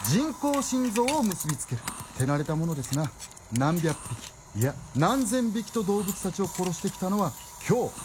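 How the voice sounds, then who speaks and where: Theatrical, animated male storyteller of macabre tales. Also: sits high, slight background noise. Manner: theatrical, clipped suspenseful phrasing. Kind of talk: dramatic radio drama narration. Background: loud music.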